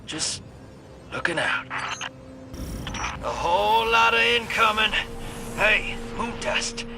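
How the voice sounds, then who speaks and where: A man's voice: high voice, sing-song, takes it slowly, noisy background, full character voice. An actor playing a cocky, folksy, wisecracking rogue gunslinger in a video game, with a slangy, teasing showman's delivery. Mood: wry, cocky. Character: cocky, roguish gunslinging hustler, long-lived, folksy and wisecracking. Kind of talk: animated video game acting. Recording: music behind the voice.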